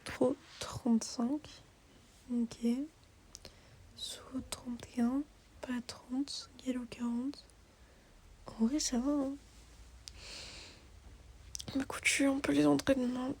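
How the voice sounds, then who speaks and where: A tired woman casually commenting on her game as she plays, often dropping into soft, half-whispered asides. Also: high-pitched, level tone, slow pace, pauses often, a little hiss or hum. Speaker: gamer.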